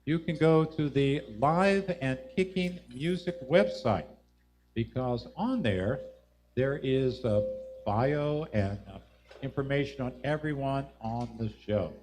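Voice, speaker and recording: A man's voice: mid-range voice, expressive, takes it slowly. A man hosting a live music show, casually introducing acts from the stage. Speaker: host.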